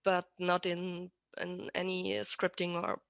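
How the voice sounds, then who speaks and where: A woman's voice: fairly low pitch, completely flat delivery, a little slow, creaky, fairly bright tone, clean tone, dry recording, studio quality. A woman with an Austrian accent chatting casually as an interview guest, often restarting and correcting herself as she explains. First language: German. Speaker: podcast guest, rigger.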